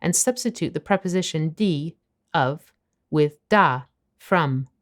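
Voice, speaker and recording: A woman narrating a scripted language lesson, explaining phrases clearly and at a measured pace. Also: sits low, lively intonation. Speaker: language teacher.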